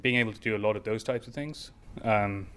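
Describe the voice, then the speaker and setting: A man's voice: on the low side, a little fast, fairly bright tone. A young man, a startup founder, answering in a casual interview with long explanations full of fillers and restarts.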